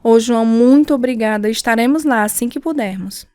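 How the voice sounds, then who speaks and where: Woman playing devout young mother, caring, highish voice, radio drama, faint music behind. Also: brisk, warm tone, no room sound, studio quality, close to an everyday voice. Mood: friendly.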